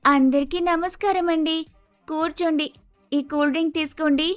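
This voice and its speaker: A woman's voice: high voice, fairly even intonation, moderate pace, soft background music, close to an everyday voice. A woman voicing a polite, naive young daughter-in-law with an Andhra Telugu accent, exclaiming in a cartoon story. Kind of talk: acted dialogue in animated moral story.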